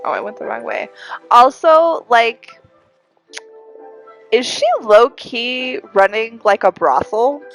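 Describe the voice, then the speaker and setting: A woman streams a video game, talking casually in a very animated, swooping voice. She sounds a little congested and is chatty, giggly and self-deprecating. Light game music plays behind her. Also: on the high side, takes it slowly, pauses often, poor audio. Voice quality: congested, audible breathing. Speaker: livestreamer. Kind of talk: casual livestream gaming commentary.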